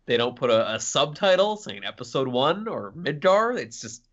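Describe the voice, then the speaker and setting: A man's voice: medium pitch, big pitch swings, normal speed, fairly bright tone, highs cut off. A man co-hosting a podcast, chatting casually about video games. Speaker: podcast co-host.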